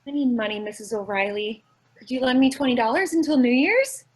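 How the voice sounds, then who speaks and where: A woman's voice: a little high, fairly quick, a little mellow, slightly rough. A woman acting a sweet, dim-witted, earnest young secretary in an old-time radio comedy. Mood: earnest, naive. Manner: naive, literal-minded, earnest.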